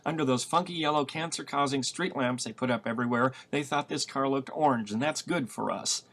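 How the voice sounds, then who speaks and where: A man voicing a sharp, tough-talking woman on the run in a novel reading, blunt and sarcastic. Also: mid-range voice, fairly quick, gravelly. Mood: impatient.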